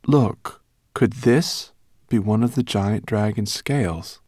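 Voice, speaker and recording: A man voicing an eager, kindly human boy in an audiobook, talking in a quick and earnest way. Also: lowish voice, lively intonation, no echo, clean studio sound, pitched above the performer's own voice. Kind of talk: audiobook character acting.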